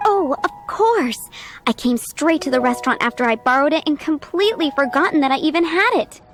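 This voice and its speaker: An actress playing a polite, cheerful young waitress with a courteous service manner in a video game. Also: female voice, high voice, expressive, brisk. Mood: sheepish, apologetic. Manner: courteous customer-service delivery. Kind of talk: video game character acting.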